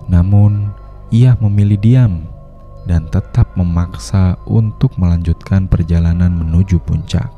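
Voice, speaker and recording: Seorang pria membacakan narasi cerita horor animasi dengan tenang dan serius. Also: male voice, deep voice, a little slow, dull audio, faint music behind. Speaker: narrator. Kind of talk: scripted storytelling narration.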